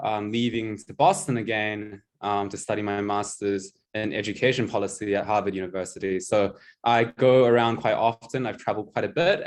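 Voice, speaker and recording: A young man with a New Zealand accent presents over a video call in a relaxed, chatty way, teasing himself and filling pauses with ums. His voice sits fairly low. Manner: chatty, self-mocking, many ums. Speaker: guest presenter, tutor. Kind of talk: casual online presentation.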